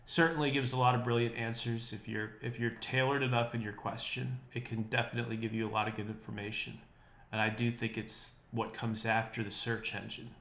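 Wry opinionated podcast host, slightly nasal. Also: male voice, mid-range voice, a bit bright, reverberant. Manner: opinionated, wry, rambling with fillers. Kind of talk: casual solo podcast monologue. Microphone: unprocessed podcast mic.

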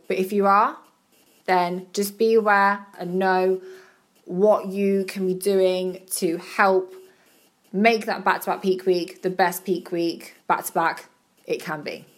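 A woman giving chatty, encouraging advice about bodybuilding show prep, her voice slightly croaky. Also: mid-range voice. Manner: chatty, encouraging, repeats words for emphasis. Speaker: bodybuilding competitor and podcast host. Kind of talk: casual advice monologue.

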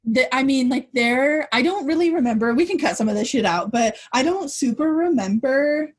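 A young woman podcast co-host with a high, husky voice that carries loudly. She talks at length in a frank, rambling way, swearing casually as she goes. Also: animated, normal speed. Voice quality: husky, loud voice. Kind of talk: casual, candid chat.

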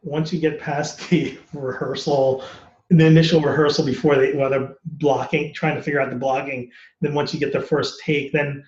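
A man's voice: on the high side, little pitch movement, moderate pace, a little mellow, echoey room, muffled recording. A man, an actor, talking candidly and casually on a podcast, rambling and laughing often. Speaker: actor guest.

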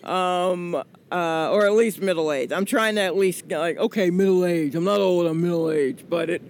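A woman's voice: lowish voice, moderate pace, a little mellow, a little hiss or hum. A woman in her forties from the American South, a comedian chatting in an interview, rambling and joking with lots of fillers. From Atlanta, US South. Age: 40s. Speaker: comedian guest. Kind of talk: casual interview chat.

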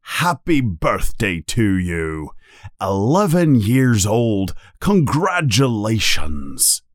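A huge, gruff giant from a storybook, acted out by a man. He is kindly but quick to flare up, speaking slowly with big swings in pitch, in a close, dry sound. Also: big pitch swings, no echo. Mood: friendly, apologetic. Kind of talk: storybook character voice.

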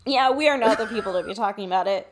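A woman's voice: medium pitch, racing. A young woman co-hosting a podcast in casual, sweary banter, irreverent and self-deprecating. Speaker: podcast co-host.

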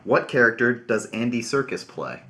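Casual podcast host guy, laughs a lot, bouncy pitch. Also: very animated, moderate pace, background noise. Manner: teasing, laughs often. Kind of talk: casual game chat.

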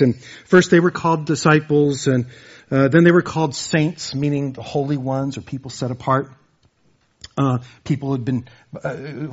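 Husky preacher man, lo-fi sound. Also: a little high. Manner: earnest, repeats words and phrases for emphasis. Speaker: pastor. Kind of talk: sermon.

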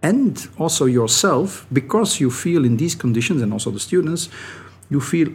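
A middle-aged man, a native Dutch speaker with a Dutch accent, giving thoughtful, halting answers as an academic guest in an interview. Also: a little high, lively intonation, a little mellow, husky. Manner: thoughtful, halting, often restarting phrases. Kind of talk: reflective interview talk.

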